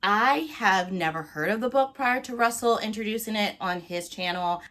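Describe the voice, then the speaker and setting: A woman talking casually to viewers as a book vlogger, with an expressive, playful and emphatic delivery. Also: medium pitch, normal speed. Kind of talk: casual, chatty talk to viewers.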